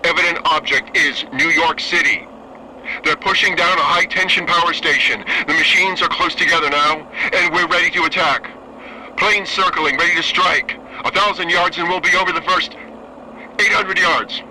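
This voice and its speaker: A man's voice: fairly high pitch, steady tone, normal speed, sharp tone, poor audio. A man playing a military bomber pilot in a radio drama, giving clipped, tense reports. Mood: tense, controlled. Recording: radio play with aircraft noise.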